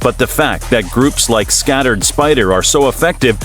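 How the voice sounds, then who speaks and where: A man narrates a documentary from a script with a mid-pitched voice and very lively, varied intonation. He explains things step by step and addresses the listener directly. Music plays faintly behind him. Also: normal speed. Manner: explanatory, rhetorical questions to the listener.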